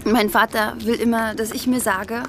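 A woman's voice: fairly high pitch, flat delivery, normal speed, noisy background, lightly acted. An actress playing an earnest, outspoken schoolgirl from a well-off family in a German radio drama, speaking formally and emphatically. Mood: earnest, confiding. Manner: formal address, probing, emphatic.

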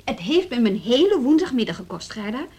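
A woman's voice: on the high side, big pitch swings, brisk, darkish tone, husky. A woman playing a well-meaning, conciliatory music teacher in a radio drama, with an informal and slightly hesitant conversational way of talking. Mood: defensive. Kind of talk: naturalistic radio drama dialogue.

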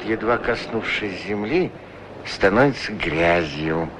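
Middle-aged male shoeshiner, wry and philosophical, unhurried delivery in short halting phrases, 1960s radio drama with noisy street background. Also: medium pitch. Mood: watchful, resigned. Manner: musing, self-deprecating, halting short phrases. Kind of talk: Soviet radio play acting, musing monologue. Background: outdoor street ambience sound effects.

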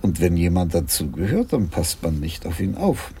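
Radio crime drama police chief: low, mellow voice, dry, unhurried musing manner. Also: male voice, big pitch swings, slight background noise. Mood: amused, reflective. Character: senior Dutch police commissioner, dry, amused and reflective. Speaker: actor in a radio play. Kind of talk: 1980s German radio crime drama acting.

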